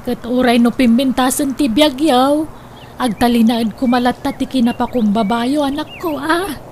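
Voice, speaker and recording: A woman with an Ilocano accent playing a humble, protective older mother in a radio drama, expressive and full of interjections. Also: high-pitched, moderate pace, a little hiss or hum, close to an everyday voice. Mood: tender, moved.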